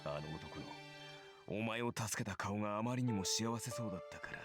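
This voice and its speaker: Stern male game antagonist, played by an actor: low voice, cold and accusing, loud music underneath. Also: expressive, fast talker, lightly acted. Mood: grave, remembering. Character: stern, scornful man confronting a younger idealist. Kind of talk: Japanese visual novel voice acting.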